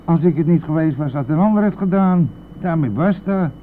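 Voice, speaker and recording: An actor playing a brazen, evasive bus driver in a radio play, blustering and dismissive, then wheedling, with a gravelly voice. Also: male voice, on the high side, a little fast, natural voice. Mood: dismissive, cajoling. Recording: noisy phone-like old radio play recording.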